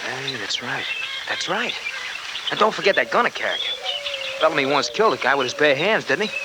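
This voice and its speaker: An American actor playing an excitable, slangy, exuberant tough sidekick in a 1940s radio adventure. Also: male voice, mid-range voice, brisk, lightly acted. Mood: puzzled, eager. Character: excitable, plain-spoken tough male sidekick. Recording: noisy old 1940s radio recording.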